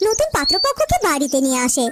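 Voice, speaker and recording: A woman's voice: very high-pitched, sing-song, fast. A woman narrating a scripted cartoon story as storyteller, with music underneath. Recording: loud music bed under voice.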